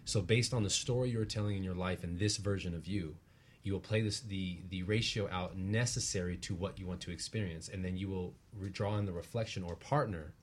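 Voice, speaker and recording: Low husky man explaining earnestly. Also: brisk. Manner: earnest, explanatory, often tags phrases with "right?". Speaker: guest, relationship practitioner and teacher. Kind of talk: conversational spiritual explanation.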